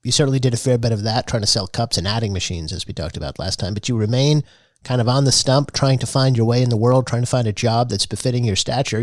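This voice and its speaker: A male podcast host leading a mock public-radio style interview in a dry, deadpan manner. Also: mid-range voice, no room sound.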